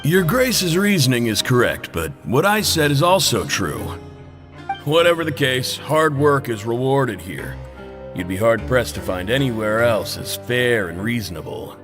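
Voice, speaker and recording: A blunt, plain-spoken inmate. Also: male voice, medium pitch, sing-song, unhurried, music in the background. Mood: respectful. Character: gruff, loyal male prison inmate, blunt. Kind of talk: game voice acting.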